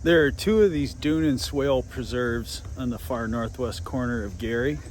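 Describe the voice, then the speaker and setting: A man's voice: medium pitch, normal speed. An American man hosting a casual on-location nature vlog, narrating and explaining local history. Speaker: host of local nature exploration videos. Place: outdoors in a nature preserve.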